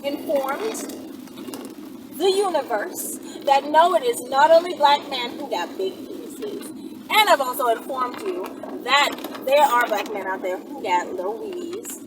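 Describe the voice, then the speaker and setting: A woman chatting casually and candidly to viewers as a vlogger, in a rambling, playful, slangy way. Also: high-pitched. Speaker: vlogger answering viewer questions. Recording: noisy, distant mic.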